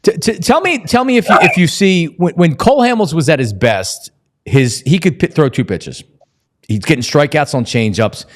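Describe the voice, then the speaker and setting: A man's voice: a little high, expressive, normal speed. A man hosting a casual sports chat in an eager way, often stammering over repeated words. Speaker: sports show host.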